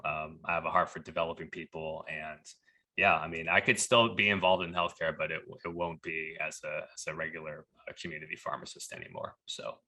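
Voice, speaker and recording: A man's voice: rumbling low, fairly even intonation, fairly quick, bright, forceful tone. A man speaking conversationally as a podcast guest, telling personal stories and often quoting dialogue. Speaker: pharmacist turned leadership coach. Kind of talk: conversational storytelling.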